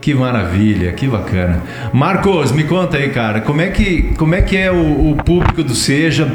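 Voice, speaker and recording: A man's voice: a little high, big pitch swings, moderate pace. A man with a Brazilian accent hosting a casual interview, informal and encouraging with the guests. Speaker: interview host. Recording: roomy echo, music behind.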